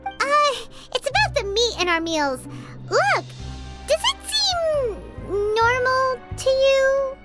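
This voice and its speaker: A woman voicing a tiny, chatty, easily indignant female fairy companion in a video game, squeaky and childlike, with an excitable manner. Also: slow pace, exaggerated voice. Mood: curious. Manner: excitable, refers to self in third person. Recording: background music throughout.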